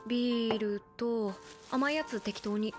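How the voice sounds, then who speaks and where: A woman's voice: on the high side, a little slow, music in the background, close to an everyday voice. An actress playing an easygoing young female college student, casually polite and a little wry, in a Japanese anime audio drama. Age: young adult.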